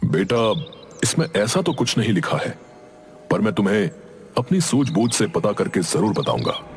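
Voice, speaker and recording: A man's voice: lowish voice, big pitch swings, moderate pace, a little hiss or hum. A man playing a welcoming, trusted older village healer in a Hindi cartoon story. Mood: reassuring. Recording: music behind the voice.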